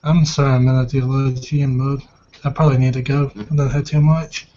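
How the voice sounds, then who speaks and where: A man's voice: mid-range voice, flat delivery, fairly quick, mellow. A man in casual, rambling, informal chat as a player in a tabletop roleplaying game. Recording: dull lo-fi voice recording.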